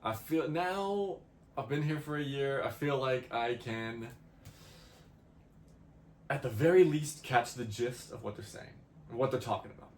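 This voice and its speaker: A man's voice: mid-range voice, measured pace. Easygoing young man, roomy echo. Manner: easygoing, agreeable, laughs often. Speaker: podcast co-host. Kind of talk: casual chat. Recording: roomy echo, faint ambient noise.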